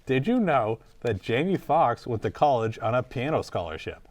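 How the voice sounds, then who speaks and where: A man's voice: medium pitch, sing-song, normal speed, a little mellow, dry recording. A man hosting an improvised comedy interview in an informal, joking, rambling way. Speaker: host.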